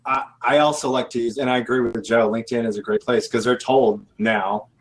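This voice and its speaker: A man's voice: mid-range voice, fairly even intonation, fairly quick. A man talking casually as a guest on a recruiting panel, rambling and correcting himself as he goes. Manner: rambling, self-correcting, laid-back.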